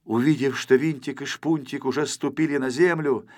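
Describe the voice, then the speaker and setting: Guy reading a kids audiobook, medium pitch, expressive storytelling. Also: normal speed. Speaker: audiobook narrator. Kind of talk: expressive scripted children's story narration.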